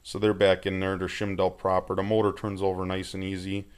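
A man with a low voice talks through a hands-on project in a plain, folksy way. He explains things informally and without hurry, recorded on a handheld camera in a workshop. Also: monotone, fairly quick, clean tone. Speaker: amateur tractor rebuilder presenting a project update.

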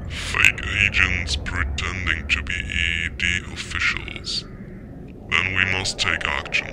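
A man playing a grave, calculating intelligence chief in a science fiction audio drama, speaking in a measured, authoritative, gravelly way. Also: low voice, level tone, background noise, heavily acted. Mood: probing, grave.

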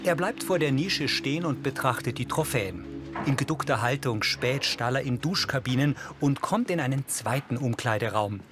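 A man's voice: medium pitch, lively intonation, moderate pace, over music. A man reading scripted audio description in a neutral, factual manner. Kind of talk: scripted narration.

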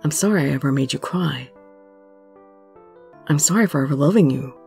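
A woman voicing an intense, lovesick young male musician in a romance story, pleading earnestly in a breathy way. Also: low-pitched, moderate pace, a little mellow, soft background music, voice pushed down. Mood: tender, pleading. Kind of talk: dramatic romance story reading.

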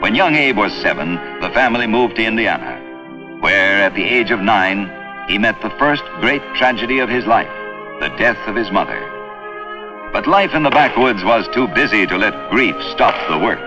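A man's voice: lowish voice, animated, moderate pace. A man narrating a scripted 1950s educational film. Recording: muffled old 16mm film soundtrack. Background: music.

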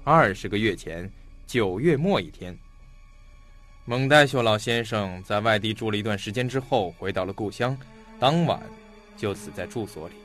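An actor playing a shrewd, talkative, self-assured detective in an audio mystery drama, laying out a case in a theatrical storytelling manner. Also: male voice, on the low side, lively intonation, normal speed, a bit bright, faint music behind.

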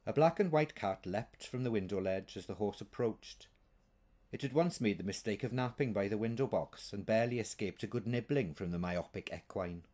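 A man reading a short story aloud as an author, in an expressive, scripted narrating style. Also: fairly low pitch, moderate pace.

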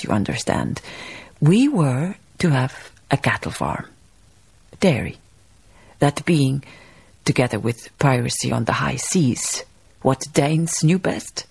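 Wistful Danish baroness, radio drama narration, raspy fry, measured storytelling, wry. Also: female voice, extremely low pitch, darkish tone, exaggerated voice. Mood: wry, rueful.

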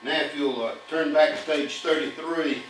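A man's voice: on the high side, moderate pace. An older man presents an audit report to a town council, reading long lists of figures at a podium with occasional fillers. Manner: reads figures steadily, with fillers. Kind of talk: formal financial report reading. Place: municipal council chamber. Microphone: podium mic, far camera. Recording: echoey distant room audio with hum.

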